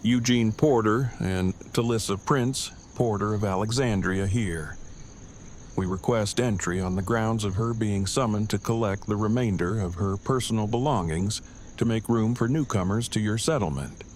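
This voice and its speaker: A man's voice: on the low side, moderate pace, a little mellow, lightly acted. A man playing an earnest, nerdy character who speaks in stiff, wordy, overly precise formal sentences in an audio drama. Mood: formal, announcing. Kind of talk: fan audio drama dialogue. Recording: home recording with clear background noise.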